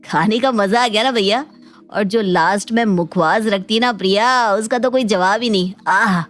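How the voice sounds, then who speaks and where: Lady doing happy clueless young husband, cartoon style, mid pitch, faint music. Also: moderate pace, close to an everyday voice. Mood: breezy. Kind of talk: Hindi cartoon family drama acting. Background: faint background music.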